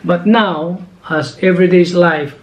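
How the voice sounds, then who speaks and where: A man's voice: high voice, steady tone, a little slow, dull audio. A man with a Filipino accent chatting casually at home about his family, rambling along with many restarts. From Philippines. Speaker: father and channel host. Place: home room. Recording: echoey room with faint noise.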